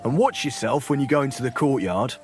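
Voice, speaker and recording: An actor playing a helpful common castle guard in a video game, with a folksy, cautioning manner. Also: male voice, medium pitch, fairly quick, a little mellow, faint music behind, close to an everyday voice.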